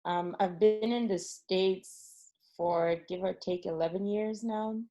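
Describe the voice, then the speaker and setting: A woman's voice: medium pitch, steady tone, slow pace, warm tone. A woman from Nigeria speaking as a panelist in a casual way, with many "like" fillers. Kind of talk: panel discussion.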